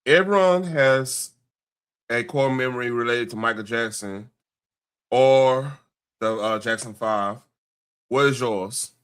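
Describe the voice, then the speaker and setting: A man with a medium-pitched voice co-hosts a casual read-along. He reads interview questions aloud haltingly, stumbling over phrases, and speaks loosely and jokingly off the script. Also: fairly bright tone, smooth. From Chicago, United States. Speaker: show co-host reading interview questions.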